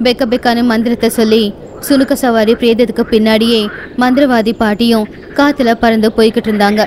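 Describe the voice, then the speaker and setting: A woman narrating a scripted animated bedtime story. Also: fairly high pitch, brisk, a little hiss or hum.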